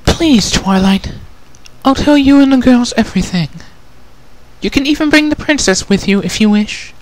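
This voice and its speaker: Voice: medium pitch, animated, normal speed, slight background noise, pitched above the performer's own voice, lightly acted, poor audio. A performer voicing a refined, ladylike female pony in a cartoon fan story, with a genteel, dainty, theatrical manner. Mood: pleading.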